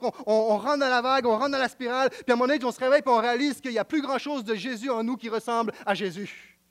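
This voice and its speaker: A man with a Quebec French accent preaching a sermon in an emphatic, repetitive style, swinging between loud declaiming and quiet, close talk. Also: very high voice.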